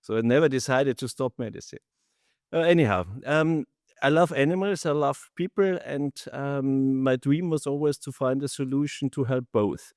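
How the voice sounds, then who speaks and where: A middle-aged man with an Austrian accent, a native German speaker, answering as a founder in an interview with halting non-native phrasing. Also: mid-range voice, darkish tone, no echo, clean studio sound. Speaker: company founder, interview guest. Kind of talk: interview answers, recounting own career.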